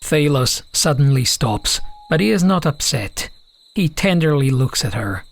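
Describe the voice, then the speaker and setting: Higher-voiced man narrating a fantasy tale. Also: lively intonation, unhurried, vocal fry. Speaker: audiobook narrator. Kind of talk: scripted fantasy story narration.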